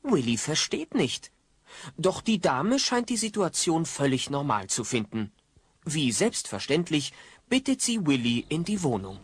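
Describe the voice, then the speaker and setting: An actor as the wry, measured storyteller narrating a sitcom audio play. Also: male voice, a little high, very animated, slightly rough, heavily acted.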